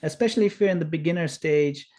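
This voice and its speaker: A man's voice: a little high, fast, darkish tone. A middle-aged man from Kenya giving an earnest, explanatory spiritual talk over a video call, with fillers. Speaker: guest speaker.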